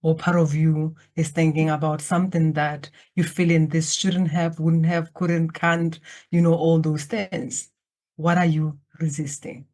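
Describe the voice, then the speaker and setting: A woman from Botswana, a native Setswana speaker, speaking as a podcast guest in a slow, calm, reflective way that addresses the listeners directly. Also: low-pitched. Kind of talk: guided reflective invitation. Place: remote, in Germany.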